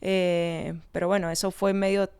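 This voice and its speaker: A woman's voice: fairly low pitch, little pitch movement, normal speed, darkish tone, clear, resonant tone. A woman with an Argentine accent, giving conversational answers as an interview guest. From Argentina, born in Colombia. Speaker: winery research and communication manager, interview guest.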